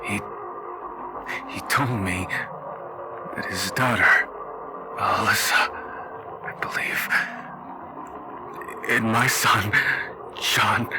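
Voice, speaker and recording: A man voicing a grieving father in his thirties, a weary wasteland survivor, in a halting, dramatic roleplay monologue. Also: fairly low pitch, fairly even intonation. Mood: somber, uneasy. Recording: background music under the voice.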